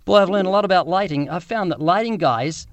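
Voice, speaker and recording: A man with a New Zealand accent and a high-pitched voice. He chats with a stranger on the street, telling a story and stumbling over repeated words. He sounds friendly and talkative. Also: animated, fairly quick, no room sound. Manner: chatty, storytelling, repeats words while searching. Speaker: street evangelist, show co-host. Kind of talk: street witnessing interview.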